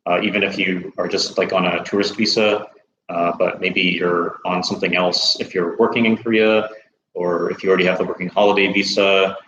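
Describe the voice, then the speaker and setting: An American man hosting a casual livestream Q&A, relaxed and chatty, laughing easily. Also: sits low, roomy sound, a little hiss or hum, rough recording. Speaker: host.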